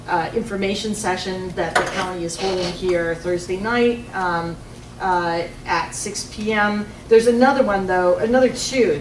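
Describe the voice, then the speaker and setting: A woman who is a teacher giving an informative public comment in a loud teacher voice, with many ums. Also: medium pitch. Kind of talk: public comment at a board meeting. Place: township hall meeting room. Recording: echoey room from a far mic, noisy.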